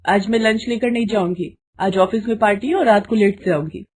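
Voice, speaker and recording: A woman's voice: mid-range voice, a little fast, a little mellow, breathy edge, natural voice. Woman voicing a haughty, scolding young daughter-in-law. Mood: irritated. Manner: taunting, complaining.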